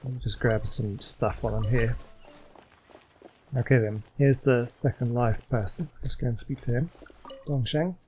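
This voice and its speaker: A man's voice: medium pitch, normal speed, darkish tone, poor audio. Male gaming host, casual play-by-play, music underneath. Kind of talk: casual gameplay commentary.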